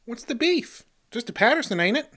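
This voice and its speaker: A man's voice: extremely high pitch, sing-song, fairly quick, fairly bright tone, exaggerated voice. An actor playing a folksy, punch-drunk custodian in a comic radio play, jittery and echoing the ends of his own phrases. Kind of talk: old-time radio comedy acting.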